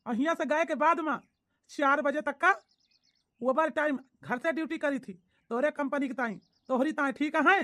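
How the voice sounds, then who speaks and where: A woman's voice: high-pitched, normal speed, fairly bright tone. A woman voicing plain-spoken villagers in a family comedy cartoon, with an emphatic, declamatory Awadhi-accented delivery. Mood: aggrieved. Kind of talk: exaggerated cartoon comedy dialogue.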